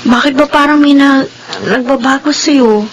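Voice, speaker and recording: A woman's voice: high-pitched, measured pace, slight background noise. An actress with a Filipino accent playing an earnest, sentimental teenage girl in an emotive radio drama. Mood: hurt, wistful. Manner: emotive, plaintive. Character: earnest, sentimental teenage daughter, a twin sister.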